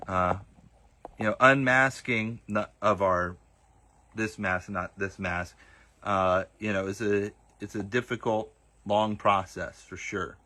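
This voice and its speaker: Man, soft Southern accent, halting livestream host, lower pitch, bright tone. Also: steady tone. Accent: Southern US, partly masked. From Southern United States. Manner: hesitant, many fillers and restarts. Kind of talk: casual livestream Q and A.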